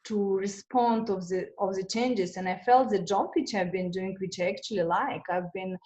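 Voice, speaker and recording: A woman's voice: mid-range voice, normal speed, a little mellow, rough recording. A woman from Russia who speaks, answering interview questions in a hesitant way, with many fillers and restarts. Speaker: interview guest. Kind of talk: conversational interview.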